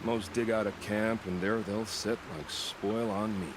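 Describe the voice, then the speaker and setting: An actor playing a wry, sinister wandering outlander killer in a video game, musing with sardonic dark humour. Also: male voice, fairly low pitch, steady tone, noisy background, close to an everyday voice. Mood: darkly amused. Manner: sardonic, musing, unhurried phrasing. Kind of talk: dramatic video game dialogue.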